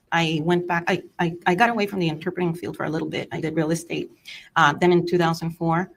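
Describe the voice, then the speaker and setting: A middle-aged woman from the Miami area, born in Cuba with Spanish as her first language, testifying as a witness in a courtroom with a hesitant manner, listing terms with pauses. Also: deep voice, a little hiss or hum.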